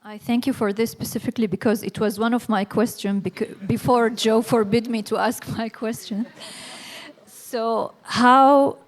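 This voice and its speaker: A woman's voice: on the high side, steady tone, a little slow. A woman co-moderating a panel, putting informal, unscripted questions to the guests.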